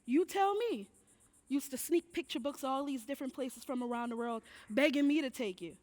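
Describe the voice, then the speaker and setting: A woman's voice: sits high, brisk, darkish tone, close to an everyday voice. A woman playing a firm, hopeful enslaved mother in a stage drama, coaxing and admonishing in folksy period speech. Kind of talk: stage play acting. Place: indoor theatre stage.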